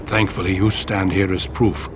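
Man acting deep-voiced, solemn warrior commander. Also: little pitch movement, background noise, natural voice. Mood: grave, resolute. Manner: formal, measured, grave. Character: solemn middle-aged male warrior commander, grave and resolute. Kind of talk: video game dramatic acting. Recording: game audio with music behind. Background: music.

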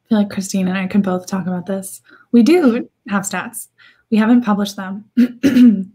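A slightly raspy, congested-sounding woman answering questions informally as a recruiting manager on a video-call panel. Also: mid-range voice, normal speed, mellow. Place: indoor room, video call.

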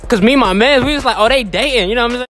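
A man's voice: very high voice, racing, noisy background. A young man hosting a casual public interview in a park and a mall, talking into a handheld mic in heavy slang, with music behind him. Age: young adult. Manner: slangy, keeps saying "you know what I'm saying". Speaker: street interviewer, channel host. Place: outdoors in a park and inside a shopping mall. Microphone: handheld microphone. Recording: background music over it.

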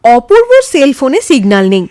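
Female narrator for ghost fiction: high, very lively intonation, expressive reading, dry close sound. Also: a little fast, dry recording. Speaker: storyteller. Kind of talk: scripted ghost story narration.

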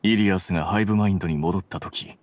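Man playing a calm, deep-voiced rational scholar. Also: dry recording, close to an everyday voice. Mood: cool, composed. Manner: measured, detached, matter-of-fact explaining. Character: calm, coolly rational young male scholar. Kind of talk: Japanese video game voice acting.

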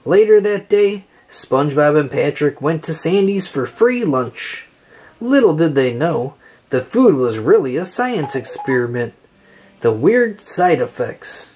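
An expressive reader narrating a children's story aloud and acting out the dialogue. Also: mid-range voice, measured pace, breathy edge.

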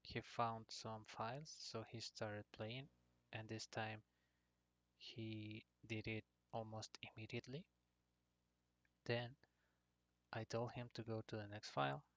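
A man narrating an informal software tutorial in short, hesitant phrases. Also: fairly low pitch, level tone, takes it slowly, pauses often, dry recording. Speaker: tutorial presenter. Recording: dull lo-fi computer microphone.

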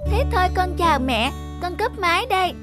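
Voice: very high voice, animated, a little fast. An actor voicing an eager, affectionate young boy with a polite, pleading manner in a children's cartoon. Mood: hurried. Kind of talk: dubbed children's cartoon dialogue. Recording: music behind the voice.

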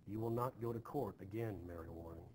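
A man playing a stern, hot-tempered farmer and head of household in a period play, giving gruff, curt commands. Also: on the low side, quick pace, a little mellow, a little hiss or hum, close to an everyday voice. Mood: angry, stern. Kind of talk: expressive play reading.

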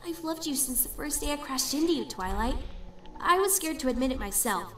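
A woman's voice: high-pitched, expressive, brisk, a bit bright, a little hiss or hum. A woman voicing a brash but caring young female pegasus pony in a fan fiction audio drama, casual and teasing. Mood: tender. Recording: roomy, distant, music behind.

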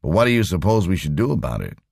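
A man voicing a gruff, sceptical male computer engineer in an audiobook, wry and grumbling. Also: deep voice, very animated, quick pace, darkish tone, no echo, clean studio sound, full character voice. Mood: wry, pondering.

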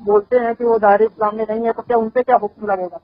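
A man on a recorded phone call in a conversational religious debate, speaking in a halting, hesitant and conciliatory way. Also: sits high, little pitch movement, no echo. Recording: thin, band-limited phone line.

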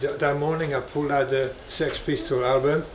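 A middle-aged man from France, a guitar collector, telling animated stories with frequent laughter in a dim indoor room. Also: fairly high pitch, brisk, a little hiss or hum. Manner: animated anecdotes, laughing often. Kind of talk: storytelling interview. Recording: echoey room.

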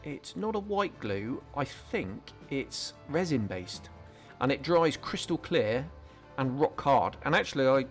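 A man talks through a hobby build in a relaxed, explanatory way, pausing on ums and restarting his sentences as he works. His voice sits at a middle pitch, and soft music plays faintly beneath him. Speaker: scale modelling channel host. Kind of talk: relaxed hobby tutorial voice-over.

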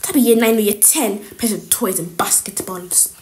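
A woman's voice: medium pitch, moderate pace, a little mellow, close to an everyday voice. A woman voicing a scornful, snooping female schoolteacher in a school story, muttering to herself in disgust.

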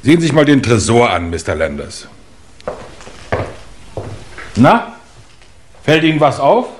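An actor playing a courteous, composed chief inspector in a 1950s German radio crime play, measured and dry. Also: male voice, mid-range voice, very animated, a little hiss or hum. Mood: matter-of-fact. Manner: polite, measured, dryly matter-of-fact.

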